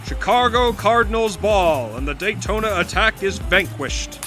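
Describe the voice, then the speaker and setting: An actor playing an excited, florid 1920s radio football announcer calling play-by-play in a period drama. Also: male voice, high-pitched, measured pace, music in the background. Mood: brisk, engaged. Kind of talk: 1920s radio sports commentary.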